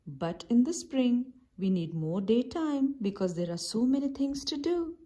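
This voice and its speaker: A woman's voice: fairly high pitch, animated, measured pace, warm tone, lo-fi sound, pitched above the performer's own voice. A woman voicing a cheery, eager little bird in a children's storybook, with a chirpy manner.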